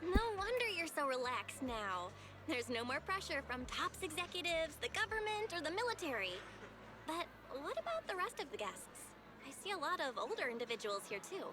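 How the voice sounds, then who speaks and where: A woman playing a sharp, curious young heroine in a video game, inquisitive and thoughtful. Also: sits high, big pitch swings, moderate pace, music in the background.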